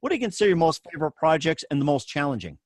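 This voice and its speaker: A man's voice: fairly high pitch, sing-song, very fast, no room sound, clean studio sound. A man hosting a talk show, asking questions and giving brief encouraging interjections. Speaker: radio show host. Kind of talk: interview host.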